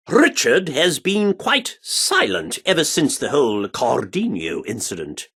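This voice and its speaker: A self-important male theatre director from a video game. His fairly high voice moves very slowly, with extremely sweeping pitch and theatrical pauses. The delivery is grand and flowery, full of old-fashioned flourishes. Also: a bit bright, exaggerated voice. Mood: dismissive, weary. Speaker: video game character voice actor.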